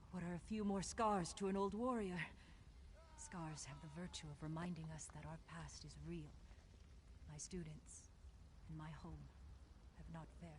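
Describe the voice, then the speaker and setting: Woman as old female game warrior, deep pitch, composed, matter-of-fact, faint noise. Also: natural voice. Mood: stoic. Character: stoic, wise old warrior woman and sword teacher. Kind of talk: scripted fantasy game dialogue. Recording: faintly noisy game capture.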